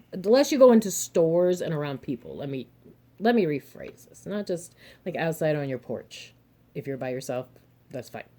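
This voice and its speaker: A young adult woman, a little hoarse and throaty, chatting frankly to her viewers with advice, laughing often and cursing mildly. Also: lowish voice, darkish tone. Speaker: vlogger, single mother and reseller. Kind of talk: casual advice monologue to camera.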